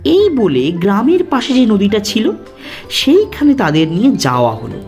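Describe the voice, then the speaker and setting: A woman narrating a scripted cartoon story in an expressive, dramatic storyteller's manner. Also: mid-range voice, moderate pace, faint music behind. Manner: animated, dramatic delivery.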